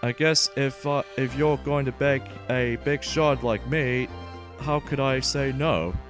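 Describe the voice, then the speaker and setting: A man voicing a blustering, grumpy monster villain from a video game, in a slow, stumbling read. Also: mid-range voice, natural voice. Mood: grudging. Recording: game music behind.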